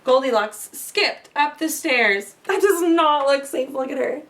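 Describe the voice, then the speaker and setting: A woman's voice: high-pitched, animated, unhurried, a bit bright, distant mic. A woman reading a children's story aloud with little asides, recorded a bit away from the mic. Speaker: storybook reader.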